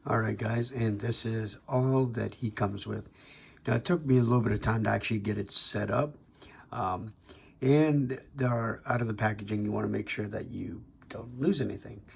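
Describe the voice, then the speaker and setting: A young man chats through a toy review while sick, and his voice comes out heavily raspy and gravelly. He talks in a loose, laid-back way, stopping and restarting often. His voice sits low. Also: steady tone, moderate pace. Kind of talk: casual video review.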